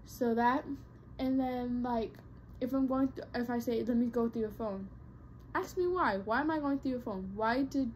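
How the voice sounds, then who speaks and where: Chatty young woman vlogging, higher voice. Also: moderate pace, darkish tone, a little hiss or hum, rough recording. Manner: chatty, rambling with many fillers and restarts. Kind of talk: casual talk to camera.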